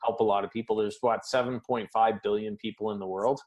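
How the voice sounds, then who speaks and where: Middle-aged Canadian man, Maritime accent, slightly low voice, relaxed and chatty guest. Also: fairly quick, dull audio. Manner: conversational, upbeat, laughs lightly, uses folksy sayings. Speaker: business coach, guest. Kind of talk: casual interview answers.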